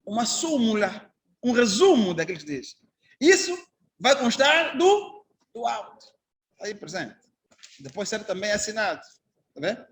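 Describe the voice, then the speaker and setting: Angolan man, high-pitched judge-trainer, conversational lecture full of rhetorical questions. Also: big pitch swings, unhurried, long pauses, fairly bright tone. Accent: Angolan Portuguese. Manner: conversational, anecdotal, asks the class rhetorical questions. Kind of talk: informal lecture to trainee magistrates.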